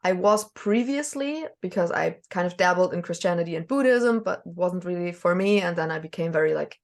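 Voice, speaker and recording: A woman's voice: on the low side, lively intonation, fairly quick. A woman from Germany with a German accent, talking as a podcast guest, hesitant with many fillers and small laughs. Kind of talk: casual conversation.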